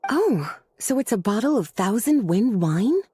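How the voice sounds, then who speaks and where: Mellow, teasing witch. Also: mid-range voice, very animated, measured pace, slight background noise. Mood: intrigued. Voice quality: velvety, soft. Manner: nurturing, coquettish, leisurely explanatory. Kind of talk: video game character acting.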